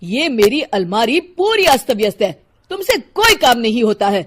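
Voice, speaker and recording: A woman's voice: a little high, very animated, normal speed, slightly rough. Woman doing a grumpy fault-finding husband. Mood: complaining. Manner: complaining, nagging.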